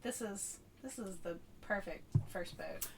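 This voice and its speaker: A woman's voice: mid-range voice, unhurried. A middle-aged woman chatting casually as a podcast guest. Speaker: podcast guest, retired sailor. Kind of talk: casual chat. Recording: distant mic, clear background noise.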